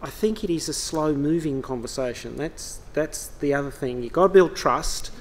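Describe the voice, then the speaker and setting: A man on a conference panel, reflective and measured, thinking aloud. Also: fairly high pitch, slight background noise.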